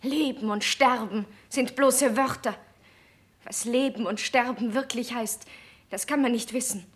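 A tender queen in a 1960s radio play. Her high voice is husky with breath, and she speaks lyrically and earnestly, imploring and consoling. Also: measured pace. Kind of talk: 1960s German radio drama acting.

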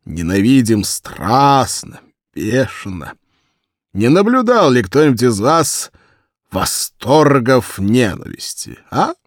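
A man's voice: mid-range voice, sing-song, takes it slowly, no room sound, studio quality. A man voicing a very fat hunter in an audiobook, a self-satisfied raconteur with a grand declamatory manner. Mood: pompous, provocative. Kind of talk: audiobook character storytelling.

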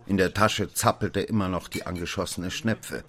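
An actor narrating in the first person as a sardonic young man in a radio play, with measured, ironic delivery. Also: sits low, fairly even intonation, a bit bright.

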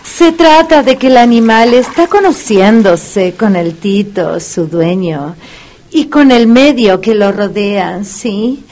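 An actress playing a pretentious, sultry dog whisperer with a Caribbean accent in a comedic radio play, pompous, clinical and coaxing. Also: female voice, mid-range voice, takes it slowly, darkish tone. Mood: explaining. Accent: Caribbean Spanish.

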